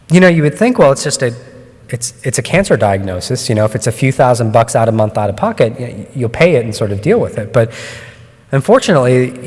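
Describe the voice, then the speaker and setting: Doctor lecturing in an echoing hall. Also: male voice, mid-range voice. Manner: measured, explanatory, with frequent ums and restarts. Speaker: oncologist giving a talk. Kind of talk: conference presentation. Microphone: microphone at a lectern. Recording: long hall reverb.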